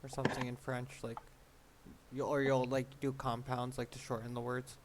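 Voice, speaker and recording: A man's voice: mid-range voice, level tone, normal speed. A man chatting casually, asking tentative questions that tend to trail off. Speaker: study group participant asking questions. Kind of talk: casual chat. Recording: faint background noise and clicks.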